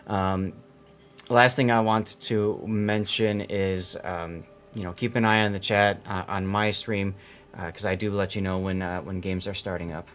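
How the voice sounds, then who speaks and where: A man's voice: low voice, fairly even intonation, a little slow, a bit bright. A man hosting a game tournament on his stream, chatting casually with a co-host and the audience, rambling with fillers.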